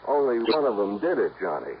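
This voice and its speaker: An American man playing an earnest, businesslike insurance man in a 1950s radio drama, brisk and matter-of-fact with a folksy touch. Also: fairly low pitch, very animated. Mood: grave, explanatory. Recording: muffled, faintly noisy old radio recording.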